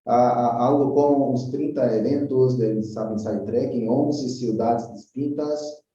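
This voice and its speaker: Brazilian guy talking spanish, portuguese accent, keeps stumbling and repeating himself, really dark mellow voice, far off mic in a super echoey room, kinda muffled. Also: mid-range voice, fairly even intonation. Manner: halting, repeats words, frequent "entonces". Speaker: guest. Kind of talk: casual interview chat.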